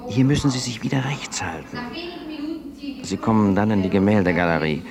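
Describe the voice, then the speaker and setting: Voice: sits low, animated, fairly quick, gravelly, a crowd in the background. A performer playing a grave, observant accomplice giving directions in a 1970s German radio play. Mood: measured, instructing. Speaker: actor in a radio drama.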